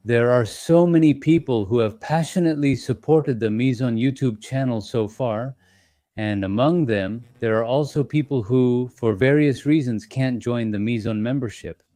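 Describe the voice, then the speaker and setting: A man hosting an investing channel, talking in a gentle, reassuring and slightly apologetic way to his members, with small fillers as he thinks aloud. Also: medium pitch, moderate pace, no echo. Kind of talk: casual live-stream talk to members.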